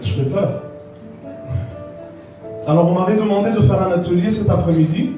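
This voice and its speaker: A man's voice: sits high, normal speed. A man speaking as a guest pastor, chatting informally to an audience with joking, hesitant asides. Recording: very echoey room, distant mic, music. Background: guitar music.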